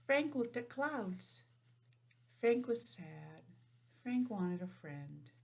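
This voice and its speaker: A woman teacher reading a picture book aloud to young children, stopping for simple, chatty explanations in an animated manner. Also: mid-range voice, very slow, creaky. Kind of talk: storybook read-aloud with chatty asides.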